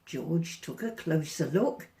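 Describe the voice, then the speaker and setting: A woman reading a picture book aloud to children as a storyteller, in a theatrical, deliberate, sing-song way. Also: sits low, animated, dark tone. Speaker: volunteer storyteller.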